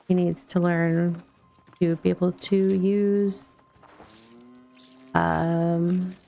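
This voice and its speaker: A woman's voice: fairly low pitch, creaky, dark tone. A middle-aged woman chatting casually as she streams a video game, rambling a little and thinking aloud. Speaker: livestreamer playing a video game. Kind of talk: casual chat over gameplay.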